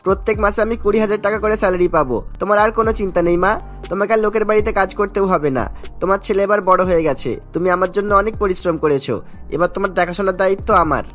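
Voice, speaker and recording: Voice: mid-range voice, fast, fairly bright tone, soft background music, close to an everyday voice. A performer voicing an affectionate son in a cartoon, speaking in an earnest, coaxing way. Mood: happy, reassuring. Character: earnest, affectionate son, child then young man. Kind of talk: Bengali cartoon voice acting.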